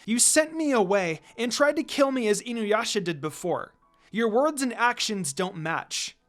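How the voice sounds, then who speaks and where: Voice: medium pitch, expressive, unhurried, faint music behind, pitched above the performer's own voice, natural voice. A reader voicing a sad, defiant young woman from a fan story in an emotional, dramatic way. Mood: distressed, defiant.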